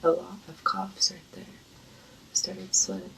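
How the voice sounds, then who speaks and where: A woman with a congested, creaky voice and a cold, chatting casually and intimately to viewers in a flirty, playful manner. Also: fairly low pitch, flat delivery, slow, bright, forceful tone, slight background noise, rough recording.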